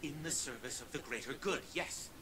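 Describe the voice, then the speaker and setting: A man's voice: medium pitch, fairly quick, pushed and bright, slight background noise, natural voice. A man playing a haughty old scientist in a robot body. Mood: defiant. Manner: cold, condescending, self-assured. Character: arrogant old male scientist in a robot frame. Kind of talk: video game cutscene voice acting.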